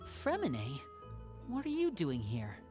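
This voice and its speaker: An actor playing a charming young male magician who is a protective older brother, speaking smoothly and coaxing answers out of his sibling in a video game. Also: on the high side, wildly swooping pitch, takes it slowly, music in the background, heavily acted. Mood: friendly. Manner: smooth, coaxing, gently probing.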